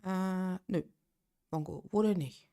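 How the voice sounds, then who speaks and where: A woman's voice: on the low side, slow pace, warm tone. A woman in her late thirties chatting casually on a live game stream, thinking aloud and answering viewers' chat. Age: 30s.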